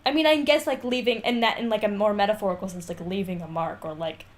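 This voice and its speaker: A woman's voice: mid-range voice, fast talker. A woman co-hosting a podcast, chatting casually in a giggly, slangy, sweary way. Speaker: podcast co-host. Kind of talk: casual banter.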